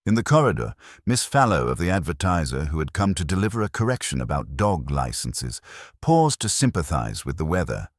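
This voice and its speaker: Very deep, husky male narrator. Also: big pitch swings, no echo. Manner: dry, measured, literary. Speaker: narrator of a detective story. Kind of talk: scripted audiobook narration.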